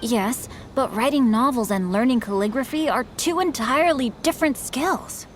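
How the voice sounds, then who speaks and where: A woman's voice: on the high side, normal speed, slight background noise, close to an everyday voice. A woman voicing a polite young male swordsman and novelist in a video game, with formal, courteous phrasing. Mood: earnest.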